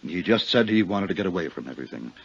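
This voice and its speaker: Deep, husky, lovesick American killer confessing. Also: male voice, animated, very fast, no room sound, heavily acted. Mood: bitter confession. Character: lovesick, bitter male murderer confessing, middle-aged. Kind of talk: 1950s radio drama acting. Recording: muffled 1950s radio recording.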